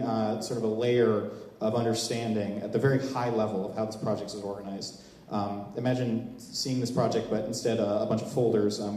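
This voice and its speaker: A man giving a live demo talk to an audience as a software presenter, explaining in a halting way. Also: medium pitch. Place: large open indoor event space, on a small stage before a seated audience. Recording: echoey hall with long reverb.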